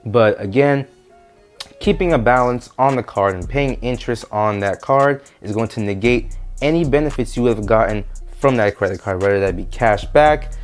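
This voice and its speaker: A man with a stuffy, nasal voice from a head cold, giving casual money advice straight to his viewers in a vlog. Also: mid-range voice. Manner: direct, talks straight to viewers. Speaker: finance YouTuber.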